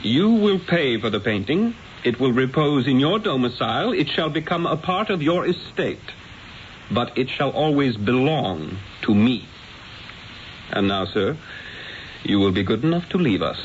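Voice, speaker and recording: An actor playing a proud, witty master painter in a radio drama, speaking in a theatrical, haughty and eloquent manner. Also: male voice, medium pitch, big pitch swings, unhurried, a little hiss or hum. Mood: imperious. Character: proud, witty, grandiose male master painter narrating his story. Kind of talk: radio drama acting with first-person narration. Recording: dull vintage radio broadcast with music.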